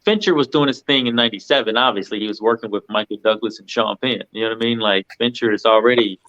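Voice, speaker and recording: A man's voice: lowish voice, fast, a bit bright. Man on a slightly muffled mic. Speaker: panelist on a film review show. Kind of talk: casual film discussion.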